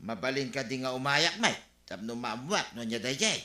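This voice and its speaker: A man's voice: medium pitch, lively intonation, moderate pace, pushed and bright, reverberant. A man playing a questioning village neighbour with a Filipino accent in a radio comedy drama. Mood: inquiring.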